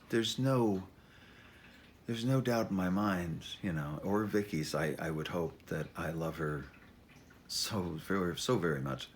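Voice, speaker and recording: A man introducing his own memoir as an author, talking informally and reading dramatically, with halting self-interruptions, wry asides and theatrical emphasis. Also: lowish voice, a little hiss or hum.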